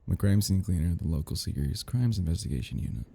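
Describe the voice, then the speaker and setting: A man playing an obsessive, menacing crime scene cleaner in an intimate roleplay audio drama, rambling and teasing with a husky, gravelly voice. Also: low-pitched, lively intonation, quick pace, warm tone, exaggerated voice. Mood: chatty, proud. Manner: rambling, chuckling, teasing, slipping into near-whispers.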